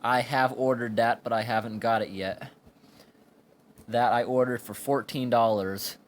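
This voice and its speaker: Male product reviewer, average pitch, plain and halting explainer delivery, amateur indoor sound with slight noise. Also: fairly even intonation. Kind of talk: informal hands-on product review. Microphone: handheld camera or phone microphone. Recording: amateur recording with background room noise.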